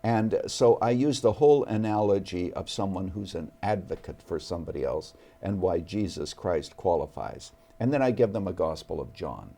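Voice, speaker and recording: A man who is a pastor and author, a guest on an interview show, speaking in a measured, earnest and gentle way. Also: sits low, expressive, vocal fry. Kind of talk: studio interview.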